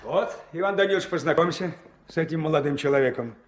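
An actor playing a genial but pressing river-port dispatch chief in a 1970s Soviet radio play, coaxing in a conversational way. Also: male voice, on the high side, quick pace, a little hiss or hum, natural voice. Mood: affable. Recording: old mono radio play recording.

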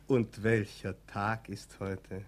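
An actor in a 1960s German radio play, playing a zealous, suspicious senior doctor who is stiffly formal and indignant, on a noisy old recording. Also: male voice, fairly low pitch, little pitch movement, takes it slowly. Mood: probing, suspicious. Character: zealous, suspicious male Nazi-era senior doctor, sternly correct.

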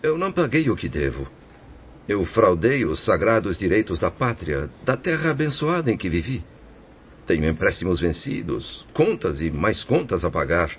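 A very low male voice from an actor portrays a penitent, tormented spirit of a bankrupt merchant. The delivery is formal and declamatory, in the manner of a religious radio play. The recording is dull, with faint noise behind it. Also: sing-song, normal speed. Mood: ashamed, anguished. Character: remorseful male suicide spirit, ruined merchant, resigned yet anguished. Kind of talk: religious radio drama acting.

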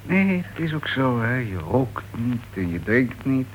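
An actor playing a cocky ex-convict old flame in a Dutch radio play, with a breezy, sardonic, taunting manner. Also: male voice, mid-range voice, very animated, measured pace. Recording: noisy old radio recording with background hiss.

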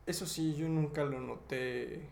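A man's voice: fairly high pitch, fairly even intonation, measured pace, vocal fry, darkish tone. A young man with a Mexican accent co-hosting a casual gaming chat, speaking in slang with plenty of swearing. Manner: slangy, frequently profane. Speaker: podcast co-host. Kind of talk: casual chat about video games.

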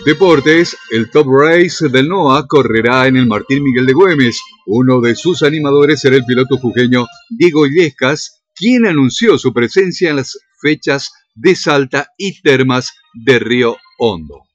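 A man's voice: mid-range voice, lively intonation, measured pace, few pauses, no echo, soft background music. An Argentine man reading a scripted local radio news bulletin with time and weather. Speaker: radio newsreader. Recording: dull lo-fi radio sound.

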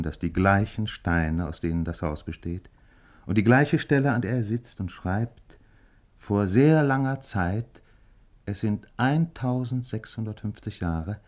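A man narrating a 1950s German radio drama as a measured, reflective storyteller with literary phrasing. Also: fairly low pitch, a little mellow.

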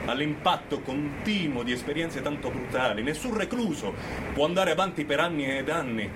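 A man's voice: mid-range voice, very noisy, close to an everyday voice. An actor playing a bitter, defiant condemned prisoner in a theatrical radio monologue, declamatory and mocking toward his jailers. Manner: declamatory, scornful, swinging from hushed narration to shouting.

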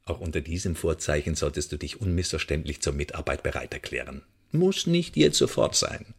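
An actor playing a menacing, mock-polite secret-service interrogator in a radio drama, articulate and sardonic. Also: male voice, a bass voice, huge pitch swings, fairly quick, creaky, slightly rough, heavily acted. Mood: smug, coolly coercive.

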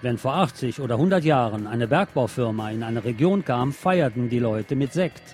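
A man's voice: medium pitch, animated, moderate pace, darkish tone, soft background music. A man presenting on the radio, reading press articles aloud.

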